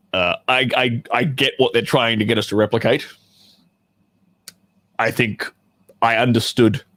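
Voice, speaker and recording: A man's voice: on the low side, gravelly, fairly bright tone, breathy edge, dry recording. A man who performs in adult films hosting a casual livestream, talking to his chat in a rambling, profane, joking way.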